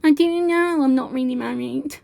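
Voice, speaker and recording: A woman's voice: high voice, moderate pace, vocal fry, darkish tone, no echo. A woman hosting reaction commentary, talking in a sarcastic, scolding way. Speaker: commentary host.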